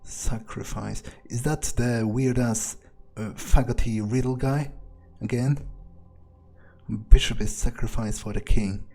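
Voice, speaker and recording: A man's voice: medium pitch, fairly even intonation, slow pace, mellow, slight background noise. A man playing an arrogant, scheming, foul-mouthed vampire in a muttered, scornful in-character monologue over gameplay. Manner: profane, scornful, muttering to himself.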